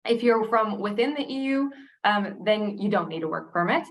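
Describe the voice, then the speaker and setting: A woman's voice: mid-range voice, animated, normal speed. A woman from the United States with an American accent, presenting a webinar in a chatty, friendly way with many filler sounds. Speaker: recruiter co-hosting a webinar.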